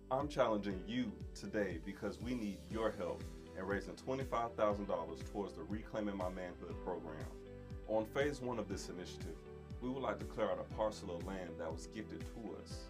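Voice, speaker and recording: A man, the founder of a charity, reading an earnest, formal, scripted appeal. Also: on the low side. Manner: measured, earnest, formal delivery. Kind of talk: scripted appeal over music. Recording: appeal video with music bed.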